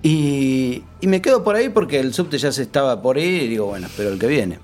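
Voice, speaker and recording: A man with a Rioplatense Argentine accent in casual, anecdotal chat, halting as he searches for words, then emphatic and sweary. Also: medium pitch, lively intonation, darkish tone, a little hiss or hum. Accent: Rioplatense Argentine Spanish. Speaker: guest in a chat among friends.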